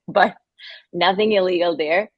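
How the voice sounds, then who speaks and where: A woman from Argentina, with an Argentinian accent and Spanish as her first language, talking in a casual interview, halting often with fillers and restarts. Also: fairly low pitch, husky, dry recording, dull audio. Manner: halting, with many fillers and restarts, laughs between phrases. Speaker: guest, company founder.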